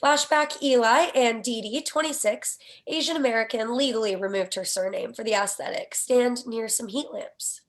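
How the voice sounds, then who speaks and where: High-voiced woman reading stage directions. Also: normal speed, few pauses, low-quality recording. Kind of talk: scripted screenplay narration at a table read.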